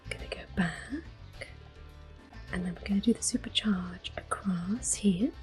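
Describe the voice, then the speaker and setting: A woman hosts an ASMR playthrough in a soft, breathy half-whisper. She gently talks through each move at a slow, unhurried pace. Faint game music plays behind her voice. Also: mid-range voice. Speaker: game streamer, host. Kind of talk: ASMR gameplay commentary.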